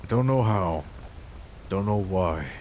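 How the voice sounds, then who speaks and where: A man voicing an earnest, wistful mercenary adventurer in his thirties for a fantasy game roleplay, in a halting, reflective way. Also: sits low, expressive, a little mellow, slight background noise. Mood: musing. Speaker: role-playing gamer voicing his character. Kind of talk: in-character fantasy game monologue.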